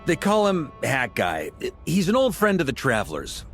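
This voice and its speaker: An actor playing a relaxed, friendly veteran knight leader with a wry manner in a game cutscene. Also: male voice, on the high side, normal speed. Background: game soundtrack music.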